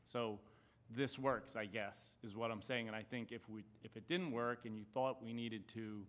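Low-pitched man in a council discussion. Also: steady tone, normal speed, a bit bright. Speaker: council member. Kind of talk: measured discussion at a public budget meeting. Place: council chamber.

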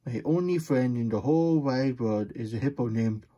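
A man's voice: mid-range voice, moderate pace, warm tone, lo-fi sound, natural voice. A man voicing a silly, childlike plush hedgehog who reads storybooks aloud in babyish broken grammar and gets easily flustered. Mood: silly, muttering. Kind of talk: playful storybook read-aloud.

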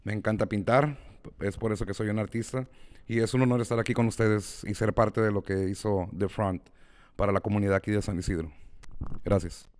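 A man's voice: on the low side, fairly even intonation, brisk, darkish tone. A middle-aged man with a Tijuana border accent, introducing himself informally as a painter on a panel. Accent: Mexican border Spanish, Tijuana. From Tijuana and San Ysidro border region. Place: indoor room, seated panel.

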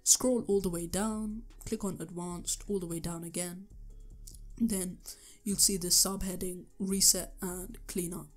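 A young man with a sore, hoarse throat, talking through a computer tutorial step by step, with the odd um. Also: sits high, level tone, unhurried, faint music behind. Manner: earnest step-by-step instructions with ums. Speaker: tech tutorial youtuber. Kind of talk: screen-recorded tutorial voice-over.

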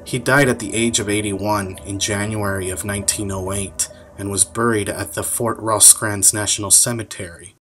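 A man's voice: fairly low pitch, fairly even intonation, normal speed, hardly any pauses, a bit bright, slight background noise, poor audio. A man reading scripted documentary narration as a narrator. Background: music.

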